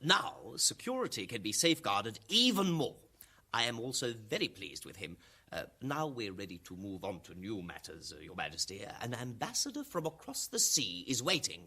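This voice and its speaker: An actor playing a stern, menacing colonel who runs a regime's security, pompous and emphatic, in a satirical radio drama. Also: male voice, mid-range voice, big pitch swings, normal speed, bright, forceful tone, husky, heavily acted. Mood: satisfied.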